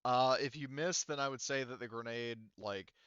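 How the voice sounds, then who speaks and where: A man with a clear, forceful voice runs a tabletop game as game master. He talks casually and animatedly in mid pitch, with informal, profane turns of phrase. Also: moderate pace, no room sound, muffled recording.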